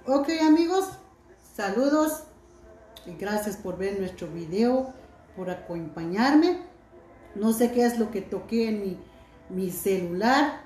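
A middle-aged woman vlogging at home by a window, chatting warmly and reading out viewer comments, recorded on a phone on the table. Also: mid-range voice, lively intonation, slow, pauses often, echoey room, faint music behind, poor audio. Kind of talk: casual chat to viewers.

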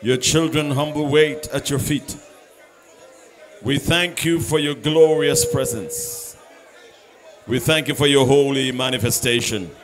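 A man's voice: medium pitch, unhurried. A man leading a church service, singing hymn lines and praying fervently with the congregation. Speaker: church leader leading worship. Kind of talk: sung worship and spoken prayer. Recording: live service with loud musical accompaniment. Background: instrumental music.

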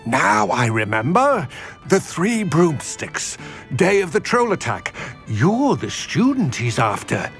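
Wary old goblin, swooping pitch. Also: male voice, sing-song, fairly bright tone, soft background music, heavily acted. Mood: suspicious. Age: older. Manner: measured, formal, deliberate phrasing. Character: wary, elderly male goblin metal trader. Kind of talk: dramatic video game dialogue.

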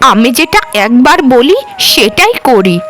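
A woman voicing an elderly lady of the house in a cartoon, nagging but fond, with drawn-out phrases. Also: sits high, very animated, fairly bright tone, soft background music, heavily acted. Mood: scolding. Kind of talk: cartoon voice acting.

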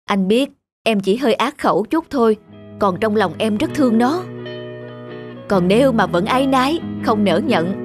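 A man's voice: high voice, lively intonation, normal speed, over music. A man playing an easygoing husband in cartoon dialogue, with a coaxing, teasing manner.

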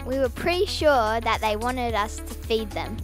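A woman narrates a travel vlog in a high, bright voice. Loud background music plays under her cheerful, scripted storytelling. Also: expressive, normal speed.

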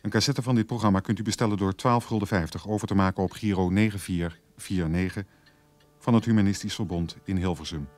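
Deep-voiced male radio announcer from the Netherlands. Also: steady tone, a little fast, darkish tone. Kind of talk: scripted credits announcement.

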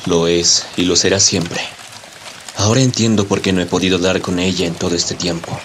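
A man's voice: low-pitched, flat delivery, normal speed, a little hiss or hum, natural voice. A man playing a proud, defiant young lover in a historical audio drama, speaking earnestly and formally. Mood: resigned.

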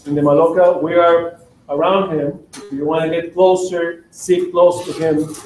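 A male moderator speaks calmly and slowly as he introduces the session. His voice is dark, and he sounds far away in a roomy hall with faint noise behind him. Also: on the high side, faint music behind. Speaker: event moderator. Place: lecture hall with audience. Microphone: far camera in audience. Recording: echoey room from far off.

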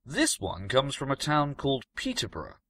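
Male reader as mysterious knowing countryman, storybook character, portentous, animated, dry close recording. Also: mid-range voice, normal speed, no echo, voice pushed up, heavily acted. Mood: ominous.

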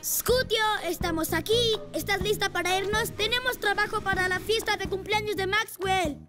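A woman's voice: very high-pitched, fairly even intonation, moderate pace. A woman voicing a brave, helpful young boy rescue motorbike in a children's cartoon dub. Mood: upbeat. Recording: music behind the voice.

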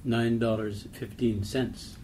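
Male poetry reader, mellow tone, measured and formal, faint background noise. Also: medium pitch, little pitch movement, poor audio. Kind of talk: literary poem reading.

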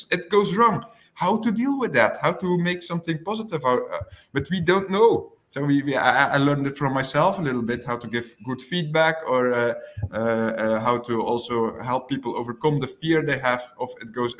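Young guy on a video call, earnest, kinda halting and repeating words. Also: mid-range voice, a bit bright. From Belgium. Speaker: guest panelist. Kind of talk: earnest unscripted panel talk.

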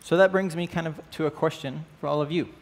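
A man's voice: on the high side, steady tone, quick pace. Chatty man teaching a church class. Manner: conversational, asks the class questions, frequent "right?" and "uh". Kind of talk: church class lesson with opening prayer. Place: church stage with band gear, indoors.

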